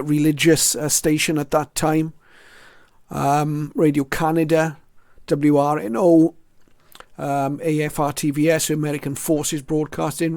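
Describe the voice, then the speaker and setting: Middle-aged man, even-toned and slightly high. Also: no echo, studio quality. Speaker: hobbyist presenter. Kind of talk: casual personal narration showing old logbooks.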